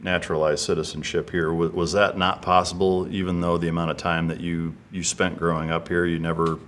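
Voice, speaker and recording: Male interviewer, very low voice, halting questions with frequent restarts. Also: flat delivery, fairly bright tone, a little hiss or hum. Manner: hesitant, restarting his questions. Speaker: podcast host.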